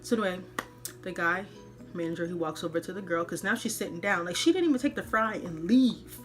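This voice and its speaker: A woman podcast host telling a personal story in an animated, colloquial way, dramatically acting out the dialogue. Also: fairly low pitch, fairly quick, music in the background.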